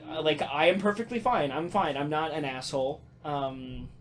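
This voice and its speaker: A man chatting casually as a podcast host, telling stories in an animated, halting way with casual swearing. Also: on the high side, a little hiss or hum. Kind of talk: casual podcast chat and storytelling.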